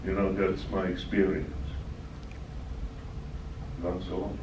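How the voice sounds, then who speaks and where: An older man speaks very slowly in a deep, low voice, pausing between his thoughts. He answers questions from a presenter's place at a talk. He is picked up from far off in an echoey room, with a dull, noisy sound. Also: level tone. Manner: slow, measured, pausing. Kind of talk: Q&A session at a talk.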